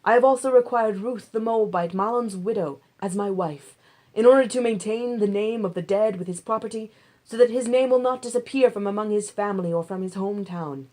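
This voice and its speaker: A woman's voice: mid-range voice, brisk, mellow, natural voice. Woman voicing an earnest, decisive biblical landowner, formal. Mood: proclaiming. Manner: formal, declarative.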